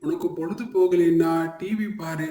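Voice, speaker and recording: Traditional family shopkeeper for cartoon tale male actor: firm, worried, high for a man, echoey. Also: steady tone, normal speed, mellow, close to an everyday voice. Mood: stern. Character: men of a traditional family shop, stern, worried. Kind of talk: cartoon moral story acting.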